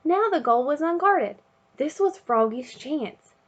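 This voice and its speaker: A woman reading a children's picture book aloud in an expressive way, chanting refrains and sound words. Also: high-pitched, measured pace, muffled recording. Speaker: storybook reader.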